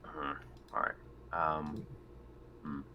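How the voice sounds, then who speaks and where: A man's voice: rumbling low, little pitch movement, slow pace, pushed and bright, dull audio. A man playing a tabletop game, chatting casually and tentatively as he thinks his moves through aloud.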